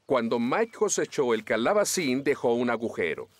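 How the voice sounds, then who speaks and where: A man's voice: mid-range voice, very animated, normal speed. A man voicing a heroic, dependable young firefighter in a Latin American Spanish cartoon dub, earnest and eager. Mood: earnest, explaining. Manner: earnest, eager, clear explaining. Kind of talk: animated children's series dub.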